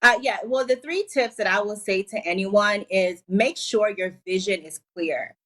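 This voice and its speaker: A woman from Liberia in her forties, a guest on a TV talk show, upbeat and laying out her advice in clear numbered tips. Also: mid-range voice, normal speed, fairly bright tone. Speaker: entrepreneur guest.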